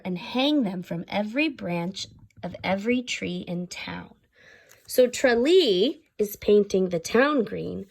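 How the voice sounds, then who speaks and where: A woman reading a children's story aloud, pausing to explain things simply to her listeners. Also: medium pitch. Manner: pauses to explain words and ask listeners questions.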